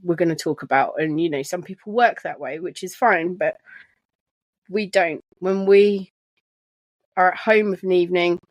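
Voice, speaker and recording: A soft-spoken woman co-hosting a chatty podcast from her sofa at home, talking with frequent restarts. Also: lowish voice, normal speed, no room sound, muffled recording. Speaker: podcast co-host. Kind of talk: casual chat. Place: living room sofa at home. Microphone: shared desk microphone.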